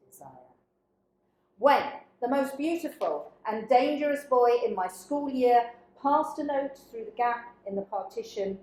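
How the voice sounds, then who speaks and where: A woman's voice: medium pitch, darkish tone. Woman reading spoken word, very echoey room. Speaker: dramaturge reading a participant's written piece. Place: echoey indoor workshop room.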